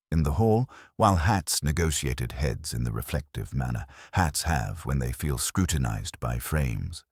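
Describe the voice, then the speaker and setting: A man narrates literary fiction in a very deep voice with lively rises and falls. His delivery is formal and wryly measured, with a husky grain. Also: no echo, studio quality. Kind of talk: scripted literary audiobook narration.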